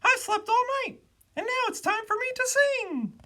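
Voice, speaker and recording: A woman voicing a cheerful baby bird in a children's storybook, very high, with chirpy delivery. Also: expressive, normal speed, pushed and bright, exaggerated voice. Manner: repetitive chirped nonsense syllables.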